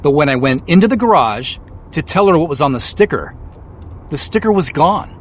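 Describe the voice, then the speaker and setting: A man acting as an anxious amateur sleuth in an audio drama. His mid-pitched voice moves around a lot, conversational and a little hesitant, earnest and stubborn. A faint vehicle hum sits behind him. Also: expressive, moderate pace, close to an everyday voice. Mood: earnest, explaining.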